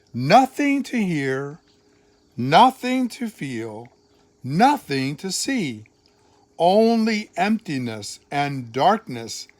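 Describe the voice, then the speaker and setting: An older man, a grandfather, reading a children's Bible storybook aloud in an expressive way, with deliberate phrasing and emphatic pauses. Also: fairly high pitch, dry recording.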